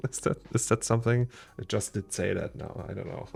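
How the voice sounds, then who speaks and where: Gravelly German man thinking aloud. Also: mid-range voice, darkish tone. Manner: thinks aloud, chuckles often, repeats words. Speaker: 3D artist streaming his work. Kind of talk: casual livestream chat while working.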